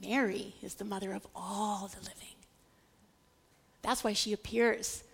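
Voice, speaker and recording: A woman's voice: mid-range voice, fairly even intonation, a little slow, creaky. A woman preacher giving a sermon that teaches Bible history, stressing key words dramatically and putting rhetorical questions to listeners.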